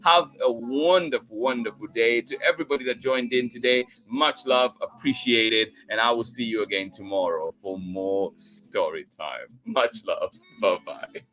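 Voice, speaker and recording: A man's voice: medium pitch, normal speed, sharp tone, soft background music, low-quality recording. A man hosting a children's story time livestream, chatting to viewers in an effusive way and often repeating his thanks and encouragement. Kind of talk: chatty livestream sign-off, greeting viewers by name.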